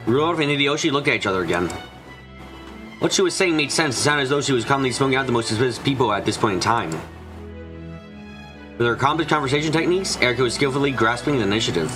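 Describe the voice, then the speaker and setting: A man streaming a visual novel, reading aloud and chatting in an animated, rambling way. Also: mid-range voice. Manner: animated, rambling, swears freely. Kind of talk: live game stream, casual chat and dramatic reading. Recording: music behind the voice. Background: game music.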